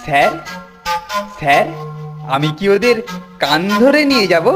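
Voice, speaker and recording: A man's voice: sits high, very animated, measured pace. A sly, sanctimonious cartoon bully, an older schoolboy. He is greedy and taunting, fawning whenever it suits him, with music playing behind the voice. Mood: fawning, smug. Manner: mock-pious, taunting, fawning to the teacher. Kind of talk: Bengali cartoon dialogue.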